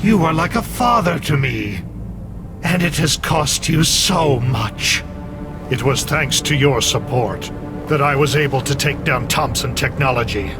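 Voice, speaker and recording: Slow, coldly calculating AI villain. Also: male voice, medium pitch, expressive. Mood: triumphant. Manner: measured, solemn, condescending. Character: coldly calculating male artificial intelligence turned on its creator. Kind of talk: dramatic video game cutscene acting. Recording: loud music under the voice.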